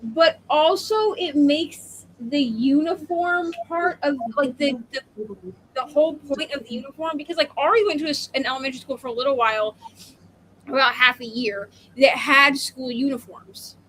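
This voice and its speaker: A woman's voice: sits high, moderate pace, a bit bright, a little hiss or hum, low-quality recording. A woman in her thirties co-hosting a casual book discussion, talking in a rambling, opinionated way with emphatic asides. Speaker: co-host of a book readalong live show.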